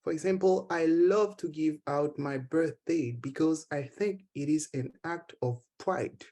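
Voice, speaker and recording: Slow earnest Haitian-accented man. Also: fairly high pitch, dark tone. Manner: slow, earnest, halting with repeated words. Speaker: conference speaker. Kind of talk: conference speech then Q&A.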